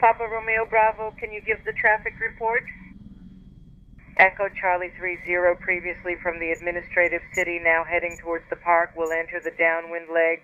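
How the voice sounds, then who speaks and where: A man's voice: high voice, fairly even intonation, brisk. A man working as an air traffic controller, giving clipped instructions over the radio. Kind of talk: radio phraseology. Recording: tinny radio transmission with faint noise.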